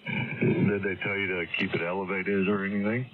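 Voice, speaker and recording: A man's voice: sits low, flat delivery, moderate pace. A man talking casually as an amateur radio operator over a radio link. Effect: radio transmission. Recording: narrowband ham radio with clear static.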